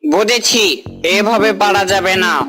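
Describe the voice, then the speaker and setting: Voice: mid-range voice, takes it slowly, over music, close to an everyday voice. An actor voicing a greedy, pushy village boy in a moral-story cartoon, cajoling and demanding.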